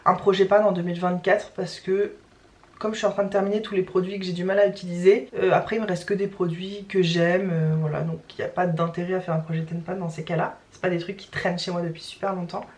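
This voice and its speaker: A woman's voice: fairly low pitch, level tone, fast, a little mellow, breathy edge. A young woman vlogging in casual chat to camera, chatty and rambling, with many fillers and restarts. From France.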